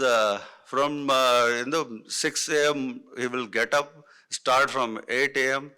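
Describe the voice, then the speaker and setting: A man's voice: medium pitch, sharp tone, clean tone. A middle-aged man from north India, a native Hindi speaker with an Indian English accent, giving unscripted panel remarks full of fillers and restarts. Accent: Indian English, Hindi-influenced. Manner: halting, many fillers and restarts.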